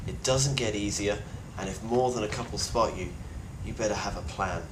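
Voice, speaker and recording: Grim, low-voiced survivor, acted by a man, echoey recording. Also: moderate pace, natural voice. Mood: grim, wary. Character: grim, weary British male plague survivor leaving video testimony. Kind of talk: in-character monologue, found-footage video diary. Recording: roomy echo with clear background noise.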